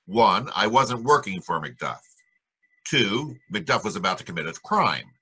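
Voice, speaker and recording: An actor playing a wry, wisecracking private detective in an old-time radio drama, with clipped, slangy delivery. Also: male voice, lowish voice, big pitch swings, a little slow, sharp tone, husky, heavily acted, rough recording. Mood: wry narration. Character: wisecracking, hard-boiled male private detective.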